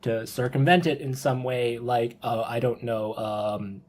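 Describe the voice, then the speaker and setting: A man talking casually on a podcast, thinking aloud in a halting way and repeating his words. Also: medium pitch, fairly even intonation, a little mellow. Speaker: podcast panelist.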